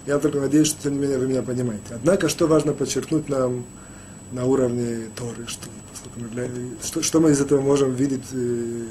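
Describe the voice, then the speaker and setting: A man teaching a Torah lesson as a rabbi, talking haltingly with many restarts and fillers. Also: mid-range voice, mellow, slight background noise. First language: Russian, rarely used in daily life. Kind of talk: spoken Torah lecture.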